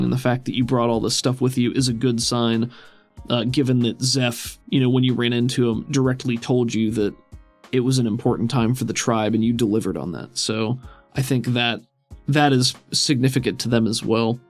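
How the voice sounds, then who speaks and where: A man running a tabletop game as game master, narrating and explaining rulings in a casual, conversational way. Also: mid-range voice.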